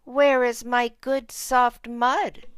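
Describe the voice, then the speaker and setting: A woman voicing a small, grumpy, excitable male pig who loves mud, in a storybook. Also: a little high, very slow, clear, resonant tone, dry recording, studio quality, pitched above the performer's own voice. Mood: indignant.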